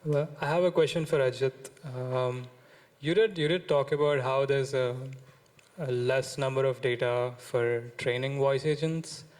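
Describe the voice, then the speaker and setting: A man's voice: medium pitch, fairly even intonation, a little slow, a little mellow. A man in the audience putting polite, tentative questions to the speakers at a conference. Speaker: audience member asking questions.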